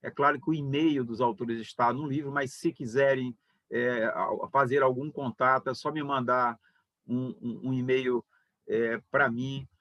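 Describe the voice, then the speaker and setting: A man closing an online book launch as moderator, speaking in a measured, hesitant way with many fillers. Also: mid-range voice, steady tone, no echo. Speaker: event moderator and book organizer. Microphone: video call. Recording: dull, dry video call audio.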